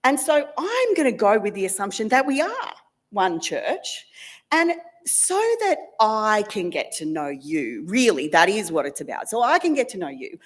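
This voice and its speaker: A woman's voice: medium pitch, very animated, moderate pace. A woman minister interviewing a church member in a casual, chatty way, laughing easily. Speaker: minister leading worship.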